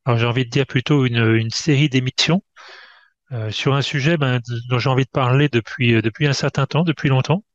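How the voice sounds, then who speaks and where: A male host introduces his guest over a video call, often pausing and restarting as he searches for words. The audio is dull and muffled, and the sound is close and dry with no room echo. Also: mid-range voice. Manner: halting, full of hesitations and restarts. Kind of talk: conversational interview.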